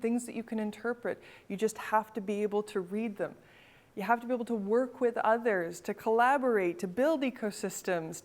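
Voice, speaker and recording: A woman from Northern Canada with a Canadian accent, hosting a business event and giving a scripted keynote with storytelling and the odd repeated word. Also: medium pitch. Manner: storytelling, uses forest imagery, occasional stammered repeats. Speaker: event host and keynote speaker.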